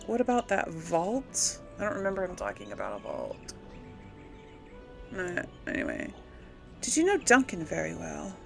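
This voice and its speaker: A woman's voice: lowish voice, huge pitch swings, normal speed, gravelly, long pauses, fairly bright tone, faint music behind. A woman hosting a casual let's play, musing aloud informally and hesitantly as she talks and role-plays through a game. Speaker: gaming video host.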